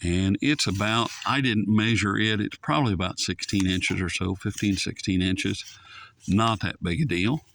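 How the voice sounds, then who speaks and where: A man's voice: lowish voice, normal speed, vocal fry, a bit bright, slight background noise. A man casually reviewing a shortwave radio in a folksy, rambling way that drifts off topic. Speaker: radio reviewer, channel host. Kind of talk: casual, unscripted product review.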